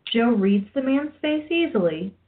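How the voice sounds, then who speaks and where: A woman's voice: on the high side, animated, a little slow. Woman narrating over a phone-like line. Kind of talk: scripted radio play narration.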